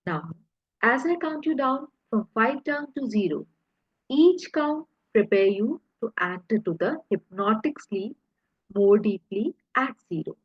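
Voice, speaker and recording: A woman leading a guided hypnosis relaxation in a soothing, deliberate way, pausing between short phrases, with a husky, breathy voice. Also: a little high, lively intonation, no room sound, highs cut off.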